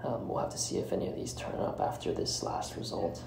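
A man hosting a solo podcast, giving commentary in a halting way and often breaking off mid-phrase. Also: low voice. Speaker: podcast host. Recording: roomy echo with clear background noise.

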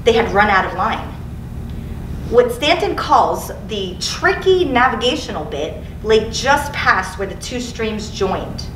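A woman's voice: a little high, sing-song, slow. A woman, around her thirties, reading narration aloud from a book as a teacher would. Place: indoor school studio, seated. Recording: roomy, distant mic, clearly noisy.

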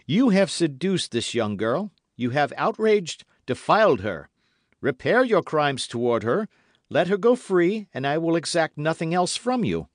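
A man's voice: a little high, sing-song, unhurried, no echo, studio quality, voice pushed up. A man voicing an impassioned, righteous young officer in a storybook, speaking with formal, insistent earnestness. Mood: righteous demand. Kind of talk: dramatic audiobook character reading.

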